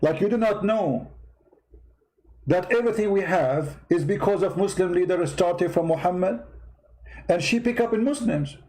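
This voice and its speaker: Man, foreign accent, non-native English, political commentator, slightly high pitch, soft-edged timbre, confrontational, mocking, repetitive questioning. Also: poor audio. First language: not English. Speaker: host commentator. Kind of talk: heated political commentary reacting to clips.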